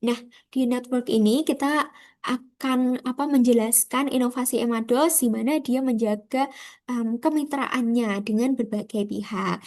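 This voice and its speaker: Higher-voiced Indonesian woman presenting informally. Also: a little slow, a little mellow. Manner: conversational, rhetorical questions to the audience. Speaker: student presenter. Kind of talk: informal slide presentation explaining a business case.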